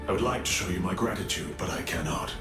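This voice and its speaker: An actor playing an ancient forest guardian spirit in a video game, speaking in a solemn, formal, measured way. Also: male voice, sits low, close to an everyday voice. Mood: solemn, grateful. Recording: echoing voice under clear music.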